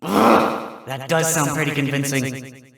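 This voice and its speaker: A woman's voice: rumbling low, animated, slow, creaky, a bit bright, no echo, heavily acted. A woman voicing a sly, sarcastic male fox defense lawyer arguing in court.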